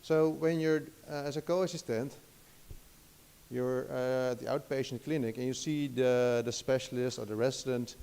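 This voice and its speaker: A middle-aged man with a Dutch accent gives a lecture in English. His voice is gravelly and mellow at a middle pitch, and he hesitates often, restarting sentences and filling gaps with "uh". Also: steady tone, normal speed. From Netherlands. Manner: hesitant, many restarts and fillers. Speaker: guest lecturer. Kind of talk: academic lecture.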